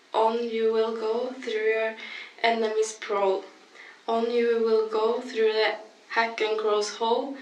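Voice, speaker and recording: A woman's voice: fairly high pitch, distant mic. A woman teacher reading a children's rhyming book aloud, echoey and away from the microphone.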